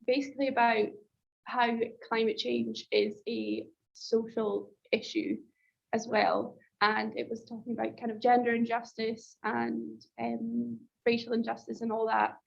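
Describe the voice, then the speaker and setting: A woman's voice: on the high side, level tone, unhurried, creaky, muffled recording. A woman speaking casually as a panel guest, with fillers, heard over a video call. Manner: casual, with "um" and "so yeah".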